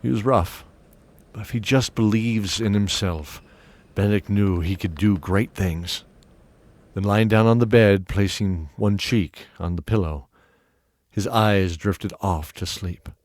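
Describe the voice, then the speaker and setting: A man narrating a fantasy audio drama in a measured storytelling manner. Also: lowish voice, darkish tone.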